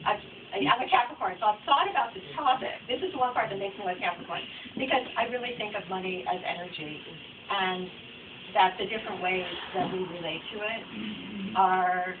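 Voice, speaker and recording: A woman's voice: fairly low pitch, moderate pace. A woman author speaking informally at a book event, reflective and rambling in a conversational way. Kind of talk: informal audience Q&A. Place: bookstore reading event. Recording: distant mic, dull noisy room recording.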